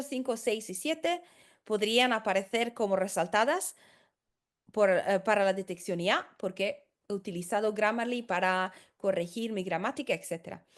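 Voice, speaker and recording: A woman who is not a native Spanish speaker, a consultant explaining in a tutorial, hesitant with many fillers and restarts. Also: medium pitch. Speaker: senior professional services consultant.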